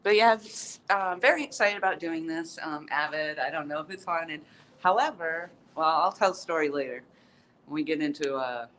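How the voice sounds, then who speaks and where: A woman's voice: sits low, fairly bright tone, slight background noise, low-quality recording. A woman hosting a casual live-stream tour in an outdoor courtyard, chatty and upbeat with many ums as she greets viewers, sounding stuffy-nosed and sniffly.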